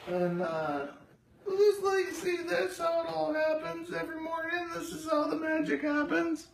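A performer voicing a vain, sassy female house cat with a raspy, drawling voice, live-streaming from a home kitchen on a phone. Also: very high-pitched, expressive, echoey room, slight background noise, heavily acted. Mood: smug. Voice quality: raspy, gravelly. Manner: slow, drawling, full of herself. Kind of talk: live-stream pet narration. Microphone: phone camera held by the speaker.

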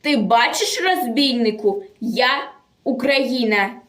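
A woman's voice: fairly high pitch, expressive, slow, a bit bright. A woman voicing a defiant, unbreakable young partisan girl with proud, solemn declamation in a war poem recitation. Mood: defiant, vengeful. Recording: echoey room, distant microphone.

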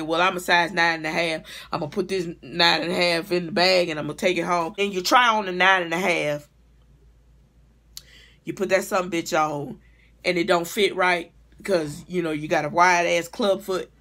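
A woman's voice: lowish voice, a bit bright. A middle-aged woman giving a casual comedic rant in a blunt, profane, exasperated conversational way, recorded on a device mic in a room at home. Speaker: vlogger and hair stylist. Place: small home room, indoors.

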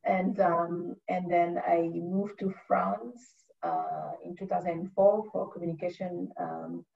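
Measured woman from Cameroon, distant and muffled over a video call. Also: lowish voice, expressive, creaky, slight background noise. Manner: measured, hesitant with fillers. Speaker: diversity and inclusion professional. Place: indoor room with bookshelf. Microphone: webcam on video call.

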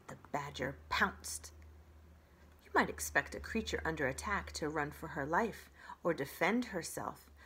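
Lively, slightly husky woman storyteller. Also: fairly low pitch. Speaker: children's librarian reading aloud. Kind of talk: expressive storybook narration. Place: indoors by a brick fireplace.

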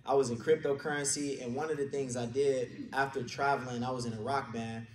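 A man's voice: mid-range voice, fairly even intonation, a little fast. A man with a Detroit accent up front in a roomy conference hall, sharing anecdotes informally, caught by a distant mic. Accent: American, Detroit. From Detroit, USA. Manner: colloquial, anecdotal. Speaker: conference speaker, real estate agent. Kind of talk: informal motivational storytelling talk to an audience. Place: indoor conference room, speaking on a stage. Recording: echoey room, distant mic, background noise.

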